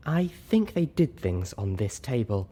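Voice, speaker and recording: A refined unicorn lady from a storybook, played by a man. The delivery is smooth and prim, with a composed, ladylike poise. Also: mid-range voice, big pitch swings, unhurried, mellow. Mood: prim disapproval.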